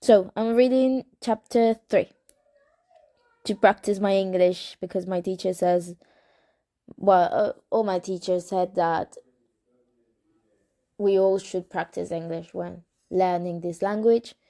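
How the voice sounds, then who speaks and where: A young woman with a Spanish accent reads a novel aloud in English, taking her time at a slow pace. Her voice is soft and warm. She breaks off for chatty comments, hesitates often and corrects her own words. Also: medium pitch, fairly even intonation. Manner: unhurried, hesitant, self-correcting. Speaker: amateur reader of a novel. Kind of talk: casual reading aloud with chatty asides.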